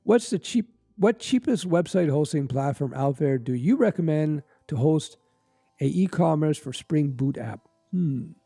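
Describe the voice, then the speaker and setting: Gravelly, mellow-voiced Canadian man livestreaming. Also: fairly high pitch, expressive, measured pace, soft background music. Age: middle-aged. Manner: rambling, conversational, fillers and restarts. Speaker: livestream host answering chat. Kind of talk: casual live-stream chat.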